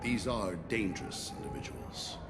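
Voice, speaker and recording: An actor playing a stern, self-righteous alien warden of a space prison ship in a video game, speaking formally and with authority. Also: male voice, fairly low pitch, moderate pace, very noisy, close to an everyday voice. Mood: firm, self-righteous. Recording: loud music behind the voice.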